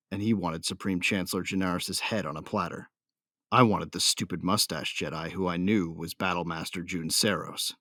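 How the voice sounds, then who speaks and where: Man playing a deep-voiced, vengeful bounty hunter. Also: fairly bright tone, no echo, studio quality. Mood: grim, vengeful. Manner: tough, slangy, menacing. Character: brooding, vengeful, hot-tempered male bounty hunter. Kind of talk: first-person dramatic audio drama narration.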